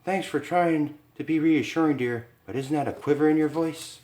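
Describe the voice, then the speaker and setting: A man's voice: on the high side, normal speed, a little mellow, echoey room, voice pushed up, close to an everyday voice. A man doing a frightened young woman, breathless and pleading.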